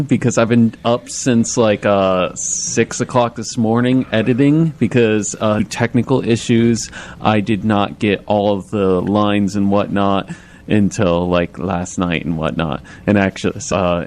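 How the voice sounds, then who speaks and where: Low-voiced man chatting on radio. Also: steady tone, unhurried, darkish tone, a little hiss or hum. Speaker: radio interview guest, audio drama creator. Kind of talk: casual radio chat.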